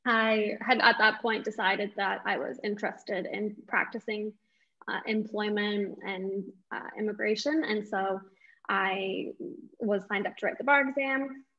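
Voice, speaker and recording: A woman's voice: medium pitch, fairly even intonation, unhurried, sharp tone. A woman in her late twenties answering interview questions conversationally over a video call from a room at home. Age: late 20s. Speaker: interview guest, lawyer. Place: small indoor room at home. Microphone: wireless earbuds on a video call. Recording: dull, lo-fi video-call audio.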